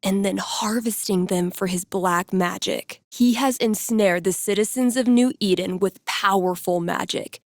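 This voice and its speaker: Young female game master, played by an actress, portentous fantasy storytelling, medium pitch, dry studio sound. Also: no room sound, lightly acted. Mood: ominous. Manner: suspenseful, portentous.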